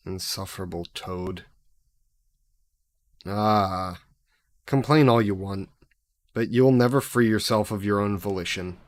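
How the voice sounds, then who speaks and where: A man's voice: on the low side, close to an everyday voice. A man voicing a centuries-old male demon bound in a necklace, sly and possessive, speaking in a mocking, theatrical way in an audio roleplay to the listener. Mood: disdainful.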